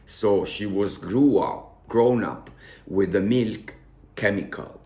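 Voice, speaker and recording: A man with an Italian accent telling a personal story to his viewers in halting, emotional English, repeating words as he searches for them. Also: fairly low pitch, expressive, creaky, a little mellow. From Italy. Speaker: vlogger. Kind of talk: casual storytelling to camera.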